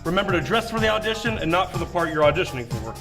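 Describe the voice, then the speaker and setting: A high-voiced male co-host firing off a scripted list of reminders. He leans hard on each point and repeats words to drive them home, with music clearly audible behind him. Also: lively intonation, rapid-fire. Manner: emphatic, repeats words for emphasis. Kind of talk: scripted recap.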